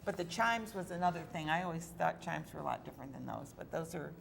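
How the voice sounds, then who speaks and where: A woman's voice: sits low, fast talker, gravelly, slight background noise. A woman hosting a local TV talk show, chatting casually with her guests and using many fillers. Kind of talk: casual interview chat.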